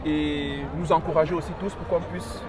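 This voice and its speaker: A man's voice: a little high, steady tone, moderate pace, music in the background. A man hosting a casual, conversational interview, often echoing back what he hears. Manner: repeats and echoes the guest's answers. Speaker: interviewer and host.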